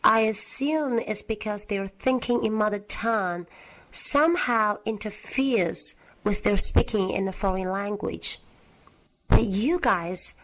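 A woman's voice: mid-range voice, low-quality recording. A woman from China, a university English teacher, leaving a formal, earnest recorded message for a podcast. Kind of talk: recorded listener message, read aloud.

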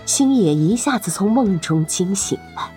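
A woman's voice: medium pitch, expressive, normal speed, mellow, soft background music. A woman narrating a serialized fantasy audio drama as its host, in a dramatic scripted storytelling style.

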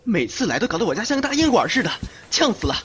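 A man's voice: high voice, big pitch swings, very fast, a bit bright. A man playing a breezy, cocky young lover who scolds affectionately in an amateur audio drama, on a hissy home recording. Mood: grumbling.

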